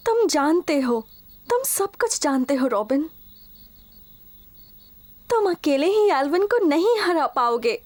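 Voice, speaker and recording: A woman's voice: sits high, sing-song, takes it slowly, long pauses, a little mellow, full character voice. A woman playing an earnest, worried young heroine in a cartoon, pleading and caring. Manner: earnest, imploring. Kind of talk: dubbed cartoon dialogue.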